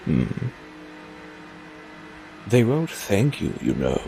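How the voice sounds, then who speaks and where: A man's voice: fairly low pitch, wildly swooping pitch, creaky, noisy background, exaggerated voice. An actor playing a sly, theatrical immortal man in an audio drama, drawling and teasing. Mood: sly, ominous. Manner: languid, teasing, drawn-out.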